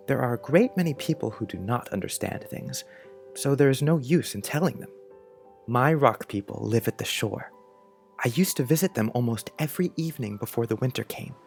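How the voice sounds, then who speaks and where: A man plays an imaginative young schoolboy in an audiobook drama. He tells his fanciful letter with earnest, wide-eyed wonder at a medium pitch, with soft music behind him. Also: lightly acted.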